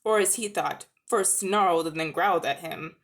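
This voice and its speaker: A woman reading a children's story aloud in an expressive, dramatic narrating style, with the odd stumble. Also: on the low side, moderate pace. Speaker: amateur audiobook reader.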